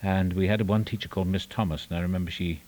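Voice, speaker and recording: Older Welsh man, very deep voice. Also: fairly even intonation, fast talker, a little mellow. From Wales. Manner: self-deprecating, wry humor; rambling with restarts and trailing asides. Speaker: recovery meeting speaker. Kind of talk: personal testimony talk. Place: meeting room.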